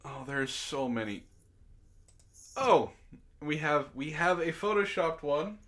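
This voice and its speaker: Male online host, higher-pitched and animated, casual and profane, giggly read-aloud delivery. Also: slow pace. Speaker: online show host reading out chat messages and memes. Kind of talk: casual group chat, reading aloud and reacting to memes.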